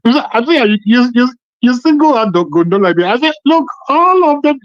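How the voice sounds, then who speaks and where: Male phone-in caller, Nigerian Yoruba accent, very animated, emphatic, dry lo-fi phone sound. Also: very high voice, moderate pace, dry recording. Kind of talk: impassioned political phone-in commentary. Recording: muffled, band-limited phone call.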